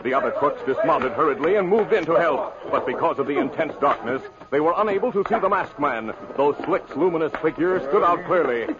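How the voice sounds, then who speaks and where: An American man giving measured, suspenseful scripted narration in a dramatic 1950s radio style. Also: on the high side, lively intonation. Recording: noisy, muffled old radio recording.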